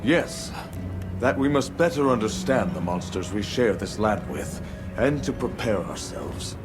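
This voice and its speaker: An actor playing a grave, watchful male village guardian with formal, measured wording in a video game. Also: lowish voice, expressive, music in the background. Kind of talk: video game cutscene acting.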